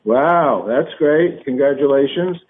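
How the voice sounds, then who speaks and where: A man's voice: mid-range voice, measured pace, breathy edge. A man hosting a casual radio interview, chatty and jokey, often stumbling over his words with fillers. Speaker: radio show host. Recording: narrow phone-like broadcast sound.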